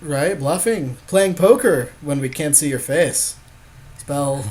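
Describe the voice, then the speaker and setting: A man's voice: a little high, slow pace, darkish tone. A man co-hosting a gaming podcast, bantering informally and agreeing as he chats through an online card game. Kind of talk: casual chatty game commentary.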